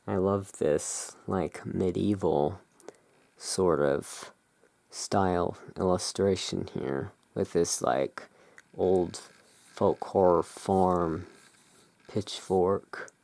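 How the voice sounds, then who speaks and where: ASMR reviewer, very unhurried and musing, with a crackly vocal fry. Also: low-pitched, darkish tone, no room sound. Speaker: tarot reviewer in a roleplay as emporium owner. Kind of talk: relaxed, unscripted show-and-tell commentary.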